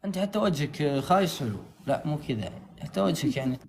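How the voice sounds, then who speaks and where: A man's voice: fairly high pitch, quick pace, vocal fry, slight background noise. A man from Saudi Arabia with a Saudi accent, bantering casually and jokingly on a livestream. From Tabuk, Saudi Arabia. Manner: joking, animated.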